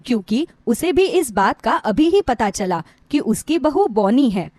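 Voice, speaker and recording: A woman narrating a scripted moral story in an expressive manner. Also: a little high, unhurried, no room sound, clean studio sound.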